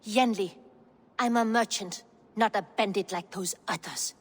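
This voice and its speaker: A woman's voice: mid-range voice, a little slow, lightly acted. A woman playing a plucky captive merchant in a video game, forthright, with some reverb on her voice. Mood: wary, earnest. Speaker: game character actor. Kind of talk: cinematic video game acting.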